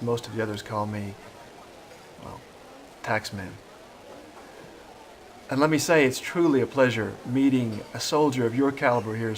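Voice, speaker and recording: A man's voice: mid-range voice, slight background noise, natural voice, low-quality recording. An actor playing a middle-aged former taxman turned rebel private, polite, hesitant and deferential. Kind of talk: scripted game cutscene acting.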